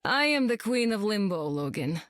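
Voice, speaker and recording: Woman playing game war captain, young, deadpan, sardonic, medium pitch, very dry sound. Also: moderate pace, no echo, natural voice. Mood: cool, deadpan. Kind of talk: video game character acting.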